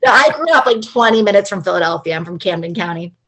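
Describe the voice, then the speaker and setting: A woman from New Jersey, a teacher, chatting as a podcast guest over a remote call, bubbly and quick to laugh. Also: fairly low pitch, lively intonation, crowd noise. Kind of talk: casual podcast chat.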